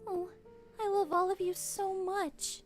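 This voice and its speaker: Casting: actress for gentle cartoon pony queen, very high, soft, earnest, music underneath. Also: little pitch movement, measured pace. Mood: warm affection. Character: gentle, kind, humble young pony queen. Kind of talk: animated fan drama dialogue.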